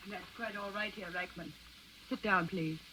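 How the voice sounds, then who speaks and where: An actress playing a shrewd, poised Danish countess in a 1940s radio drama, measured and courteous with dry irony. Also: female voice, mid-range voice, steady tone, pushed and bright, a little hiss or hum, close to an everyday voice. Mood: cool courtesy. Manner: measured, courteous, dryly ironic. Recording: dull vintage radio broadcast.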